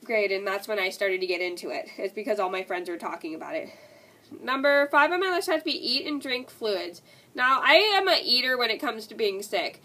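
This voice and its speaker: Young woman with a stuffy cold nose, kinda high bright voice, rambles on w lots of like and stuff. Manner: rambling, repeats herself, many "like" and "and stuff" fillers. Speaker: vlogger. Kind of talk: casual talk to camera.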